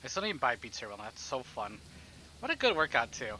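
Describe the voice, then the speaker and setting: A man's voice: medium pitch, sing-song, brisk, pushed and bright, slight background noise, poor audio. A man hosting a casual livestream, chatting to viewers in a playful, rambling, exclamatory way and often repeating himself. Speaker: livestream host, gamer.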